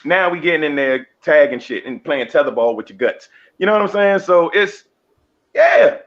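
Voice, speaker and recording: A man's voice: a little high, expressive, normal speed, a bit bright, muffled recording. A man who is a comedy guest, bantering casually and bawdily in a cocky, slangy, repetitive way. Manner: cocky, slangy, repeats himself for emphasis.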